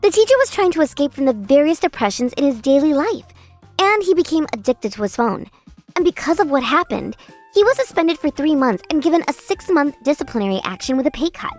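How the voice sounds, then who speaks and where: A woman narrating as an exasperated schoolgirl in a manga dub, lively and a bit sarcastic. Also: sits high, brisk, soft background music. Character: exasperated schoolgirl narrating her class's story.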